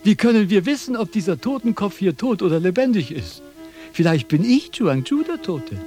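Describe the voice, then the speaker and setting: An actor playing a self-important, wordy wandering philosopher in a declamatory, quibbling radio drama style. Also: male voice, sits high, very animated, moderate pace, mellow, soft background music. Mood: pompous reasoning. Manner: declamatory, pompous, sophistical. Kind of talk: theatrical German radio drama acting.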